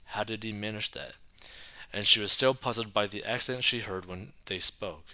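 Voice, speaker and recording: Male host with a lowish, piercingly bright voice, reading an article in a measured way. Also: no room sound. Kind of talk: reading an article aloud, then casual commentary.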